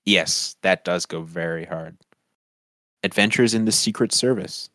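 Young male podcast co-host, low voice, dry close sound, relaxed and chatty. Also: a little slow, no room sound. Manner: relaxed, laughing often, filler-heavy. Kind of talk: casual chat.

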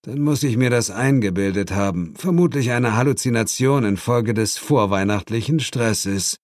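A man voicing a laconic, deadpan male investigator partner in an audiobook. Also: medium pitch, normal speed, no room sound, clean studio sound, pitched above the performer's own voice, lightly acted. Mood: feigned relief. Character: laconic, playing-along male partner of the hero.

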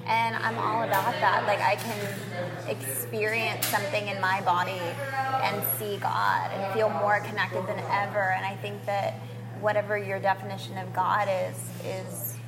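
A woman's voice: medium pitch, flat delivery, unhurried, over loud music. A woman in her thirties from Australia, a tantra teacher talking earnestly and reflectively as a guest in a casual interview. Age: 30s. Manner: earnest, reflective, speaks in flowing run-on thoughts.

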